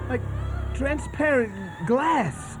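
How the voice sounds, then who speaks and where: Night sentry for radio drama: fearful, stammering, high-pitched, drawn-out delivery. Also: expressive, music in the background, full character voice. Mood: alarmed. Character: frightened night sentry soldier, high and startled.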